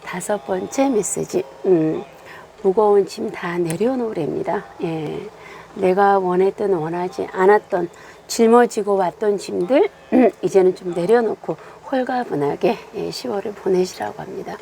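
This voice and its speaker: A woman with a hoarse voice from a cold, unhurried and full of filler sounds, giving a monthly tarot fortune reading. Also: mid-range voice, dark tone, slight background noise. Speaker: tarot reader.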